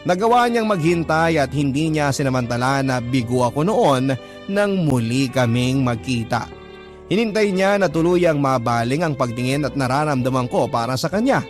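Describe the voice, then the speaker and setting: A man's voice: medium pitch, expressive, normal speed. A man reading a first-person letter aloud in a reflective, heartfelt manner. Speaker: letter narrator. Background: violin music.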